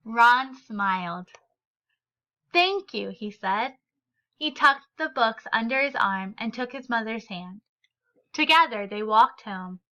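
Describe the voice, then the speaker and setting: A woman reads a picture book aloud for young listeners. Her voice is bright and fairly high, and she phrases each line expressively and deliberately. The recording is a little dull and lo-fi. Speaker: read-aloud storyteller.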